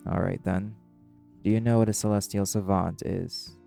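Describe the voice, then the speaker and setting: A man's voice: fairly even intonation, normal speed, dark tone, faint music behind. A man playing a brash, guarded young werewolf musician in an audio drama, with curt, sarcastic, dismissive delivery. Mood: grudging, weary. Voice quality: gravelly, low. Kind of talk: dramatic audio roleplay acting.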